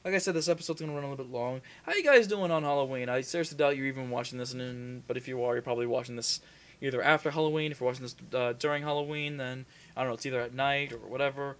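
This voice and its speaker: A man's voice: medium pitch, racing, fairly bright tone. A young man casually commentating a shooter game at home, rambling and swearing as he chats with his viewers over a desk mic. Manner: rambling, profane, chatty with viewers. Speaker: gaming commentator. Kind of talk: casual let's-play commentary. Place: small room at home.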